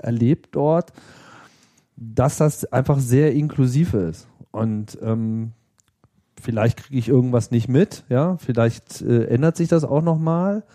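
A man's voice: mid-range voice, warm tone. A middle-aged man from Hanover in western Germany in a casual podcast conversation, hesitant and repeating words as he forms his thoughts.

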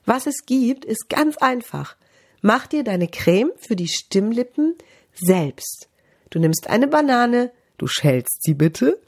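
A middle-aged woman, a vocal coach, giving advice on a podcast and swooping high and low in pitch to show how voices sound. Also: very animated, slow pace, breathy edge.

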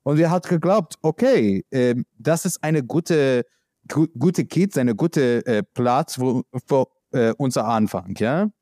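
A man from the United States with an American accent, speaking in a halting, searching way with many fillers, answering as an interviewee. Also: mid-range voice, animated, warm tone, no echo, clean studio sound. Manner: halting non-native speech with many fillers. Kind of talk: conversational interview.

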